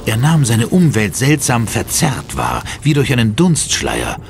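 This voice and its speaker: A man's voice: medium pitch, wildly swooping pitch, unhurried, vocal fry, slight background noise. A man narrating a horror audio drama in a suspenseful, theatrical manner. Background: music.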